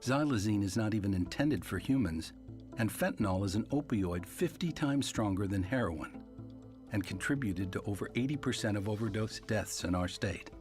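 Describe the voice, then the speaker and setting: A man's voice: fairly low pitch, animated, normal speed, a little mellow. A man reading a serious scripted public service announcement for radio. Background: music bed.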